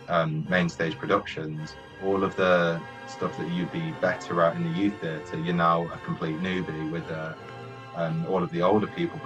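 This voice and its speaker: Deep-voiced young man. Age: young adult. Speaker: former youth theatre member being interviewed. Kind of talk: casual reflective interview talk. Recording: dull lo-fi sound, faint music.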